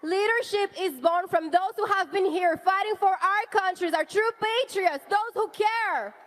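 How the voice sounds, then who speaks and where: 30s woman, from venezuela, raised in canada, high voice, fired-up rally speech, little bit of hiss. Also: unhurried. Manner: rousing, rhetorical, builds to shouts on slogans. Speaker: rally speaker, wife of a party leader.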